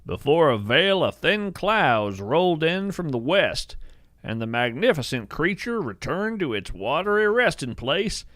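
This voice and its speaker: A man playing an earnest, awestruck naturalist, narrating in a poetic, measured storytelling style. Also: on the high side, sing-song, fairly bright tone, no room sound, studio quality. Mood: hushed wonder. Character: awestruck bearded male naturalist who studies strange creatures. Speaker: host and narrator of a fiction podcast. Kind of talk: scripted first-person narration, storytelling.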